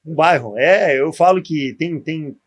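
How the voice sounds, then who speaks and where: A gaúcho man in his forties, a former athlete, recounts his upbringing in a podcast interview. His voice sits a bit high for a man. He keeps checking in with tag questions as he tells the story. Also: measured pace. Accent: gaúcho Portuguese. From southern Brazil. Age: 40s. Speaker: guest, former pro footballer.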